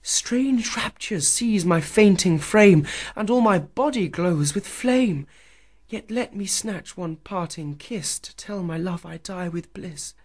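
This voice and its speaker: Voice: medium pitch, expressive, takes it slowly, voice pushed up. A reader voicing a swooning young woman in a pastoral love poem, with rapturous, breathless speech and whispered gasps. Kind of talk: dramatic poetry reading.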